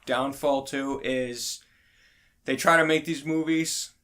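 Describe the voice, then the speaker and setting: A man's voice: mid-range voice, steady tone, slow pace, fairly bright tone. A male podcast host with a mid-range voice, chatting casually in a group discussion. He builds his points conversationally, throwing out questions and hypothetical examples.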